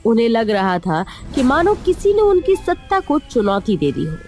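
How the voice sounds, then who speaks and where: A woman narrates a cartoon moral tale from a script. Her voice sits on the high side and moves with lots of melodic swing. There is light music in the background. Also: wildly swooping pitch, moderate pace, darkish tone. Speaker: storyteller, narrator.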